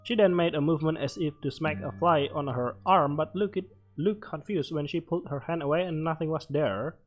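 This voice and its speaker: A man doing casual game commentary, reading on-screen text aloud and reacting with short, halting interjections. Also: on the high side, dull audio. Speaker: let's player. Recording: music playing behind the voice. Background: game music.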